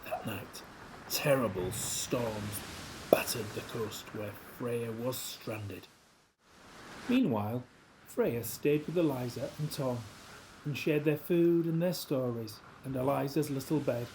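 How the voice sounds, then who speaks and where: Slow, mellow male children's storyteller. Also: medium pitch, slight background noise, poor audio. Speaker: storybook reader. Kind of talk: scripted children's story narration, read aloud.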